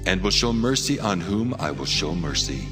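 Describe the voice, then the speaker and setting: Commanding deity, deep male voice, cavernous echo, solemn scripture drama, music underneath. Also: expressive, moderate pace. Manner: solemn, declamatory. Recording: long cavernous reverb, music bed.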